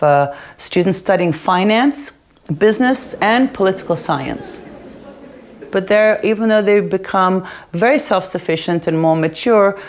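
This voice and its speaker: Low female voice, organization representative, explanatory interview talk.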